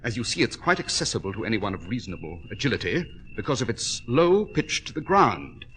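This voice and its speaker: An actor playing a keen, self-assured gentleman detective with a British accent and clipped, precise, commanding delivery, in 1940s radio style. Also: fairly low pitch, big pitch swings, a little fast, background noise. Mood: brisk, intent.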